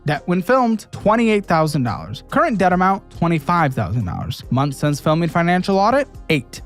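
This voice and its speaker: A man with a high, lively voice reads a written update aloud, earnest and steady. Faint music runs underneath. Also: moderate pace. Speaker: guest reading an update. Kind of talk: reading aloud.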